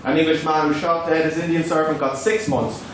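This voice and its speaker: A man's voice: on the high side, fairly even intonation, brisk. A man with an Irish accent, a native Irish speaker, giving a formal, declamatory debate speech. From Ireland. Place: echoey debating hall, distant mic. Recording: echoey hall from far off, faint noise.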